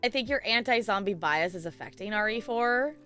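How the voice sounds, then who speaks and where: A woman's voice: mid-range voice, lively intonation, fairly quick, sharp tone, soft background music. A woman chatting casually on a livestream in a playful, giggly, rambling way. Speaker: live game streamer chatting with viewers.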